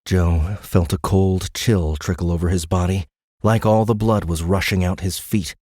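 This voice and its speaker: A man reading scripted dramatic audiobook narration in a measured, suspenseful manner. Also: sits low, steady tone, darkish tone, no room sound, studio quality.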